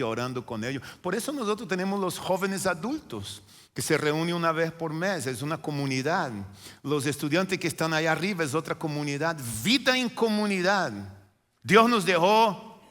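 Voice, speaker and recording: Man, native Portuguese speaker with a Brazilian accent, pastor preaching in a reverberant church sanctuary; emphatic repetitions. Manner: preaching with repetition and swings from loud emphatic lines to quiet low ones. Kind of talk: sermon.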